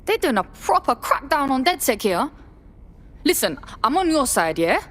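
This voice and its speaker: A cocky, streetwise young London woman, fairly high in pitch. Her delivery is brash, slangy and very animated, a defiant game character. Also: moderate pace. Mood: wary. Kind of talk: video game voice acting.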